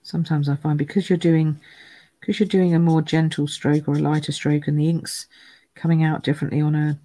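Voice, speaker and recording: A woman with a croaky voice, chatting through a live card-making demonstration at her craft table, greeting viewers by name as she stamps and colours. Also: low voice, little pitch movement, brisk, warm tone, no room sound. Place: home craft room.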